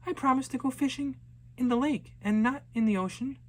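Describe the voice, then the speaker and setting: A man voicing a bold, boastful young girl in a high, eager voice. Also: brisk, a little hiss or hum. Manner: high, loud and excited, cheeky.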